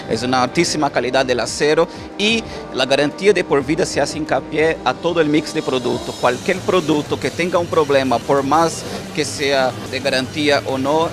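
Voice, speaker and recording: Casting: male export manager, Brazilian accent, fairly high voice, explaining products in an interview with music behind. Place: trade expo stand. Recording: music under the voice. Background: background music.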